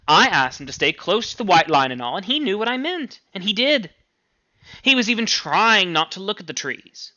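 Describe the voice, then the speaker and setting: Man playing anxious middle-aged mother, raised pitch, scolding, emphatic, storybook reading, dull recording. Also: sing-song, normal speed, sharp tone, no echo, full character voice. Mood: fretful, gossipy. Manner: fretful, emphatic, interrupting.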